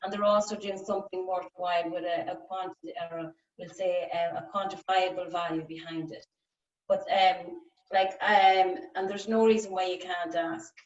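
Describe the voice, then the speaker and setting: A woman's voice: on the low side, fairly even intonation, moderate pace. A woman facilitating an online workshop, chatty and rambling with many ums and likes. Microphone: video call. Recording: distant, roomy, lo-fi call audio.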